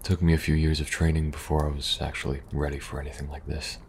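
A man playing a weary, kind young government agent in a soft-spoken, halting ASMR roleplay. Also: a bass voice, little pitch movement, vocal fry, a little hiss or hum. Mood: weary, reminiscing. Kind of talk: intimate ASMR audio roleplay.

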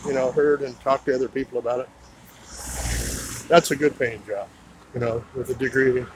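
Laid-back man narrating a street walk. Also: mid-range voice. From United States (Idaho). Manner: laid-back, chatty, with chuckles. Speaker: vlogger on a walking tour. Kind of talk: casual walking commentary. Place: outdoors on a roadside in a town. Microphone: handheld phone camera. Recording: outdoor phone recording, clear traffic noise. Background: passing vehicles and street ambience.

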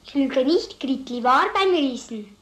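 In an echoey old drama recording, a high-voiced little schoolgirl speaks. She is earnest and stubborn, giving simple, insistent answers. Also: animated, measured pace, fairly bright tone. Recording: echoey old film soundtrack.

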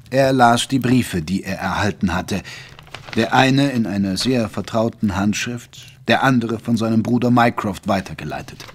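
A man's voice: on the low side, a little hiss or hum. A man narrating a German audio drama as a scripted storyteller.

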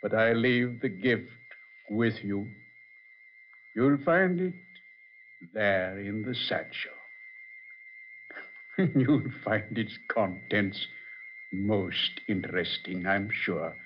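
A deep-voiced old man speaks slowly, with mocking courtesy and quiet menace, as if back from the grave. It is 1940s radio horror, muffled and hissy. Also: lively intonation. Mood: mocking, sinister. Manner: slow, sinister, mock-courteous, chuckling.

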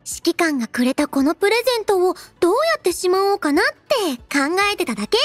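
Cute cheerful robot girl, anime game character, very high voice, lively swooping intonation, playful and devoted. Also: a little fast, dry recording. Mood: excited. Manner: cutesy, refers to herself in third person, expressive.

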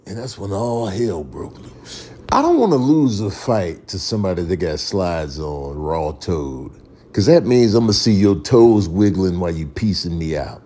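A man's voice: sits low, lively intonation, a little slow, dark tone. A man with an American accent doing comic voiceover commentary in a theatrical, exasperated way full of inventive slang.

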